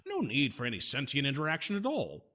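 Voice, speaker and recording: A man playing a smooth-talking, nervously polite machinery salesman in an audio drama, flattering and a little hesitant. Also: medium pitch, quick pace. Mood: pleading politely.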